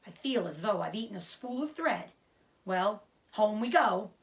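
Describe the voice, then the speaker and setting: A woman's voice: medium pitch, animated, measured pace, gravelly. A woman voicing a greedy, self-pitying old male rat in a storybook, complaining and mocking theatrically.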